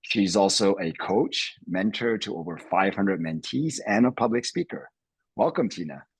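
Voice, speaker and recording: Deep voiced guy hosting a podcast, dry close sound, stumbles and restarts a lot. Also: expressive, vocal fry, slightly rough, dry recording, low-quality recording. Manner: halting, restarts words often. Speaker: podcast host, company CEO. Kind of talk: interview hosting.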